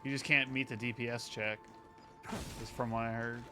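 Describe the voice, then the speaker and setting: A man's voice: medium pitch, little pitch movement, moderate pace, over music. A man streaming a game, chatting casually and informally with some swearing. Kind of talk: casual chat over gameplay.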